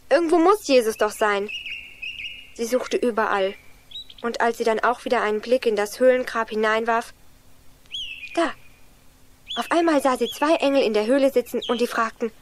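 Earnest young girl played by a woman, high voice. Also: slight background noise, lightly acted. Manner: eager, explanatory storytelling. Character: Juliane, earnest young girl lost in forest. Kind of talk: 1980s children's radio play acting.

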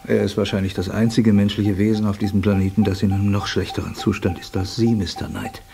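Low, creaky, blunt doctor. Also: male voice, level tone, fast talker, dark tone. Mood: bluntly clinical. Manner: matter-of-fact, dry. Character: frank, composed male surgeon, dryly blunt. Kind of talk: German radio play acting.

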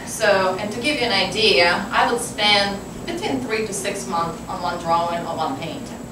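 A woman with a Ukrainian accent talking informally as a painter on a panel, telling her story with asides and questions to herself, recorded from afar in a noisy, echoing hall. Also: mid-range voice. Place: large wooden hall with seated audience. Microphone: far camera. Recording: distant, very echoey, noisy room recording.

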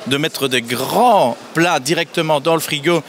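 A man's voice: a little high, measured pace, slight background noise. A man in his thirties, a sales representative explaining a product feature by feature at a trade fair stand. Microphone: handheld microphone held by interviewer.

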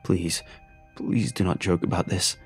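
A man's voice: on the low side, fairly even intonation, unhurried, gravelly, a little mellow, slightly rough, soft background music, full character voice. A man voicing a grieving father who speaks shakily and breathlessly on the phone. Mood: desperate pleading. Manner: shaky, breathless, pleading.

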